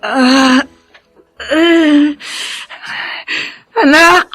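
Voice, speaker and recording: A woman's voice: sits high, fairly even intonation, over music. A woman playing a tearful, tender, ailing mother in a radio drama. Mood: pained, pleading. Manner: tearful, tender, drawn-out delivery. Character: ailing, loving mother in pain, tearful, weary. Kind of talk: Filipino radio drama acting.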